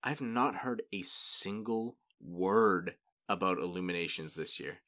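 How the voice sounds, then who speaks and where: A man in his thirties co-hosting a casual podcast, relaxed and dryly teasing with short replies, on a boom-arm studio mic in a small room. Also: measured pace. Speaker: podcast co-host. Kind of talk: casual chat. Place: small home room, indoors.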